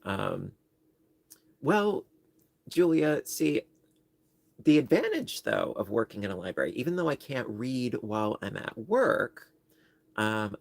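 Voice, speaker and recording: Man, New York accent, self-deprecating rambling chat, dry close sound, gravelly. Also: medium pitch, no echo. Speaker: livestream host. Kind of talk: casual livestream chat.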